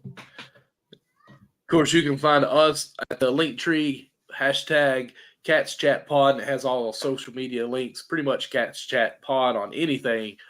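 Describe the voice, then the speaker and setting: A man co-hosting a casual sports podcast, hoarse and congested, rambling and restarting his thoughts. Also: medium pitch, steady tone, a little slow, a bit bright. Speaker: podcast co-host.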